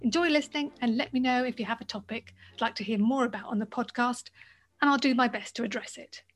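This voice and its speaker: Woman host with a slightly high voice. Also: quick pace, soft background music. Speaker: podcast host. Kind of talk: scripted introduction and interview questions.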